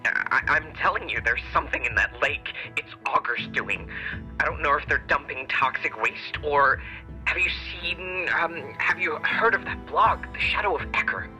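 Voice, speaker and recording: Agitated, sharp-voiced conspiracy-minded local. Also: male voice, fairly high pitch, soft background music, low-quality recording. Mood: nervous, insistent. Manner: insistent, halting. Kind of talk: audio drama, casual interview.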